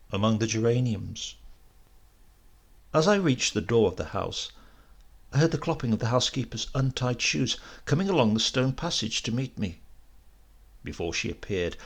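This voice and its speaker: Male audiobook narrator: lowish pitch, gravelly creak, measured and expressive literary storytelling.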